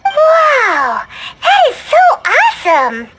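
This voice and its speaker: Tiny floating girl companion, squeaky and gushing, lively sing-song swoops. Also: slow pace, raised voice, heavy background noise, heavily acted. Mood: curious. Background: game music.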